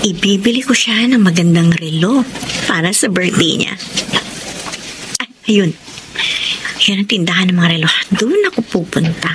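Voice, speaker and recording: A woman's voice: on the low side, animated, normal speed, pauses often, background noise, natural voice. A woman playing a smitten, conflicted young woman in a radio melodrama, with a hesitant, earnest delivery. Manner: hesitant, stammering in dialogue. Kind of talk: Tagalog radio melodrama acting and letter narration. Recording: loud music bed behind voice.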